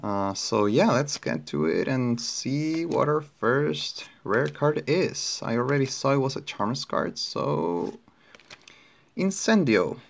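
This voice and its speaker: Young guy from chile w spanish accent, slow, lots of um and uh, chill unboxing talk. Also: mid-range voice, sing-song, creaky. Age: young adult. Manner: unhurried, hesitant, many fillers and restarts. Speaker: card collector opening packs. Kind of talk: casual unboxing narration. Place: indoors at a table.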